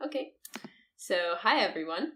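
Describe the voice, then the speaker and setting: A woman's voice: mid-range voice, sing-song, moderate pace, bright, forceful tone. A woman co-hosting a podcast, chatting casually in an upbeat way and laughing often. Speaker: podcast co-host. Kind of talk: casual chat.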